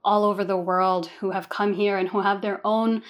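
A woman's voice: mid-range voice, flat delivery, normal speed. A woman from Dubai, a senior product designer, explaining her work thoughtfully in an interview. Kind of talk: interview answers.

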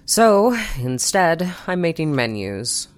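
A woman's voice: deep voice, sing-song, slow, heavily acted. A woman acting an anxious, overwhelmed woman in a sci-fi audio drama, hesitant and stammering over repeated words. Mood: weary, wry.

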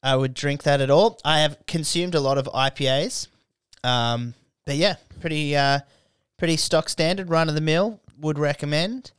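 A man's voice: a little high, moderate pace, clean tone, no echo, studio quality. A man in his twenties with an Australian accent, hosting a casual, crude podcast chat full of jokes and fillers. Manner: crude, joking, rambling with fillers. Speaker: podcast host. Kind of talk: casual drunk podcast banter.

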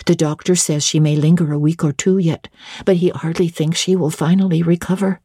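A woman's voice: fairly low pitch, steady tone, a little fast, warm tone, husky, no room sound, studio quality. A reader voicing a kindly, bustling woman, a former nursemaid, with a homely, respectful manner in an audiobook. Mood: gentle, informative. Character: kindly, bustling former nursemaid, quick-tempered young mother. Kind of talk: audiobook character dialogue.

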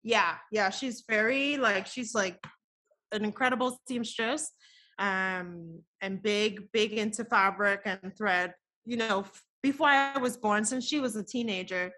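A woman's voice: mid-range voice, measured pace, pushed and bright. A woman, chatting as a podcast guest, laughing often and filling her talk with casual fillers. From the Bahamas. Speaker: guest artist.

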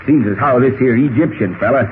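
An actor playing a folksy, talkative, excitable cowboy with an American Western drawl and colorful slang in a 1940s radio style. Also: male voice, medium pitch, a little fast, close to an everyday voice. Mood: rambling, explanatory. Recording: tinny, noisy old radio recording.

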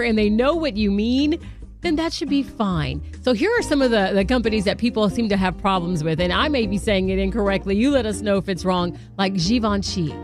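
A woman from Texas co-hosting a radio show, chatting casually and laughing easily. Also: fairly high pitch. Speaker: radio co-host. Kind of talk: casual on-air banter.

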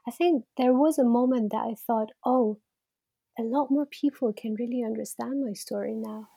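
A woman's voice: on the high side, normal speed, vocal fry, dark tone, slightly rough. A woman in her thirties from Iran, speaking as an interview guest in a reflective, conversational way and laughing easily. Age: 30s.

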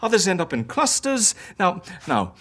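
A woman's voice: on the low side, sing-song, normal speed, fairly bright tone. An actress playing a confident, theatrical woman who fakes deaths for clients, lecturing and coaxing in an audio drama. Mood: didactic, philosophical. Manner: didactic, encouraging, philosophical.

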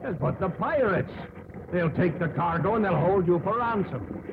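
An actor playing a deferential but anxious ship's first officer in 1950s radio drama style, earnest and emphatic. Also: male voice, high voice, lively intonation, normal speed. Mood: warning. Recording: tinny, hissy 1950s radio broadcast.

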